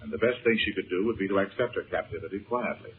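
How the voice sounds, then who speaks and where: An actor playing a grave, protective uncle in a 1930s radio serial, speaking in a measured, earnest way. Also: male voice, low voice, husky. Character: troubled, grave uncle who works magic, protective and subdued. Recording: muffled, tinny 1930s radio recording.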